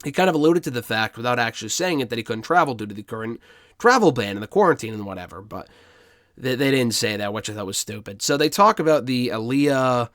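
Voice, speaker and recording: A man's voice: mid-range voice, lively intonation, quick pace, fairly bright tone, dry recording, studio quality. A congested man with a cold, giving a blunt, opinionated review with frequent ums and the odd swear word. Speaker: wrestling review podcaster. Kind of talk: casual solo review commentary.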